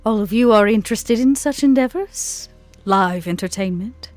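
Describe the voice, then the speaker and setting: A woman's voice: medium pitch, normal speed, darkish tone, no room sound, pitched above the performer's own voice, natural voice. A woman voicing a cool, guarded female nightclub businesswoman in a tabletop roleplay, speaking in a formal, curt and pointed way. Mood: icy, pointed. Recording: music playing behind the voice.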